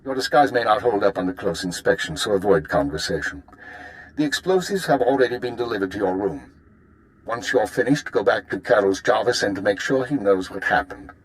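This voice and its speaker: A composed intelligence handler, played by a man with a fairly low voice. He is formal, exacting and measured, delivering precise mission briefings in a video game. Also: expressive, close to an everyday voice, poor audio.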